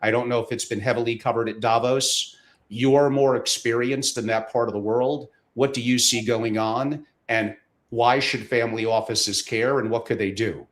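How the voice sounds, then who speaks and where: A man's voice: mid-range voice, steady tone, normal speed. A man hosting an interview, asking questions in an easygoing, self-deprecating way. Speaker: host.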